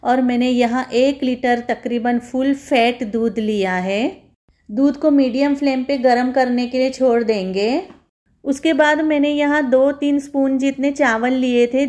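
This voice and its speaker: Woman doing a cooking voiceover, kinda high voice, walks you thru each step. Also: fairly even intonation, unhurried, a little mellow. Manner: instructional, addresses viewers as friends. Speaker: home cook presenting a recipe. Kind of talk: recipe voice-over narration, step by step.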